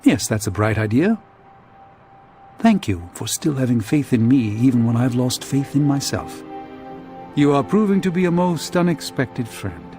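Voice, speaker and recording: A man's voice: mid-range voice, expressive, normal speed, dark tone, faint music behind, close to an everyday voice. A man playing a weary, reflective sorcerer with refined speech and self-doubt in a video game cutscene. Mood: grateful.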